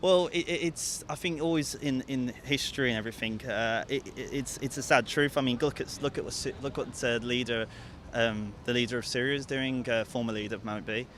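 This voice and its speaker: A man's voice: medium pitch, a little fast, a bit bright. A man giving his opinion hesitantly in a street interview, often repeating words. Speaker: member of the public interviewed. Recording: outdoor street noise behind.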